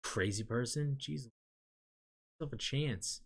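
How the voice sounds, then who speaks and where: A young man talking casually to his audience, a loose rambling ramble that drifts into quieter muttered asides. His voice sits at an ordinary mid pitch. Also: normal speed, slightly rough. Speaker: streamer chatting with viewers.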